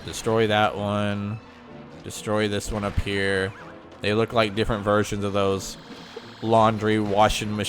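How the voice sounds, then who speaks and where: A man with a slightly nasal, congested voice giving a chatty, rambling walkthrough of a video game. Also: lowish voice. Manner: chatty, rambling, with frequent ums and self-corrections. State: mild sinus congestion. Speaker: gaming channel host. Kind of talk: casual gameplay commentary.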